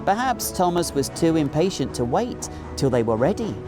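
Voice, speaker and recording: A man in his forties with a British accent, narrating a children's story in an animated reading style. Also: fairly high pitch, normal speed, music in the background. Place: small indoor recording studio.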